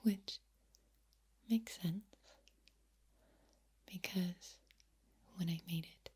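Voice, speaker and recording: A woman speaking softly in a breathy ASMR whisper, close to the mic from ear to ear, lingering on and repeating words. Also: lowish voice, extremely slow. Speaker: ASMR video creator. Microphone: close microphone, speaking ear to ear.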